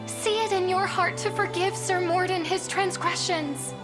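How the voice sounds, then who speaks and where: A woman's voice: very high-pitched, fairly even intonation, measured pace, over music. A woman playing a loyal, deferential young townswoman in a fantasy game, polite and earnest. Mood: pleading. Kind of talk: video game fantasy acting.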